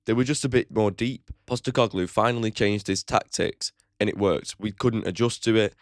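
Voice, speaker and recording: A man's voice: on the low side, moderate pace, dry recording, studio quality. A man hosting his own football fan channel, giving a blunt, opinionated match preview with casual swearing. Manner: chatty, with frequent "like" fillers.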